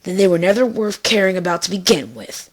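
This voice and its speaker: A woman's voice: on the low side, expressive, brisk. A woman voicing a young female pony, blunt and protective, speaking earnestly and emphatically in a fan fiction drama. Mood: firm, reassuring.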